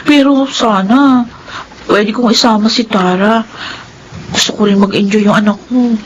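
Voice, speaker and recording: A woman with a Filipino accent playing a young single mother, soft-spoken and teasing, in a radio drama. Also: medium pitch, measured pace, highs cut off, slight background noise, close to an everyday voice. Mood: hopeful, gentle. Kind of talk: Tagalog radio drama acting.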